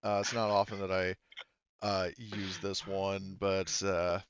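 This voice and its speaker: A man's voice: sits low, flat delivery, measured pace, fairly bright tone, muffled recording, a little hiss or hum. A man running a tabletop game as game master, narrating casually and thinking aloud in a hesitant way.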